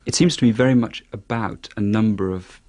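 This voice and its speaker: A man's voice: lowish voice, fairly quick, darkish tone. A man speaking as a psychotherapist in an interview, reflective and thoughtful. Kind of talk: interview answers.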